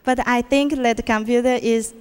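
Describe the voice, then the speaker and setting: A woman's voice: a little high, steady tone, moderate pace, smooth. A teenage girl from Taiwan with a Taiwanese accent gives an English contest speech, hesitating and restarting her sentences. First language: Mandarin Chinese. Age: teens. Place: school auditorium. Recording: reverberant hall.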